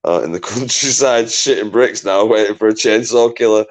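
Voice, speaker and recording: A man hosting a casual live stream, swearing freely, laughing a lot and bantering with callers in a loud voice. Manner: says he has a deep, loud voice. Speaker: livestream host.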